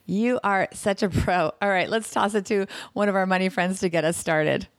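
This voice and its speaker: A woman hosting a money podcast from her home kitchen, her voice hoarse, chatty and upbeat with quick asides. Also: medium pitch, fairly even intonation, no room sound. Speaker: podcast host and financial planner. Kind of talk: casual podcast chat.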